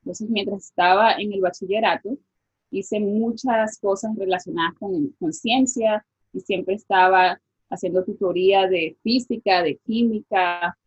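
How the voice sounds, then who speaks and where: Venezuelan woman, husky voice, dull lo-fi call audio, reflective interview guest. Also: medium pitch, steady tone, a little slow. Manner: reflective, with many fillers like "este" and "o sea". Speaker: guest scientist. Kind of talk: casual interview.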